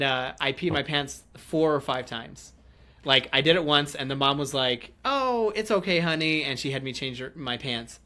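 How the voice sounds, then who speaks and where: A man's voice: mid-range voice, sharp tone. A man hosting a casual group chat in a chatty, joking way, often breaking into laughter. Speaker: podcast host.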